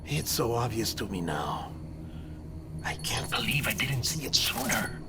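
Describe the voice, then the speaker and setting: Earnest, highly animated male colleague. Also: measured pace, noisy background. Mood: urgent. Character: earnest, analytical male colleague explaining events. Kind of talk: cinematic game dialogue.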